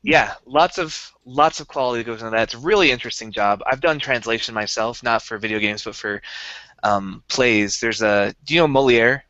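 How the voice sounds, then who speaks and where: Young male podcast co-host, eager rambling explainer, crisp bright tone, dry dull recording. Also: medium pitch, normal speed, no room sound. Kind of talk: casual chat.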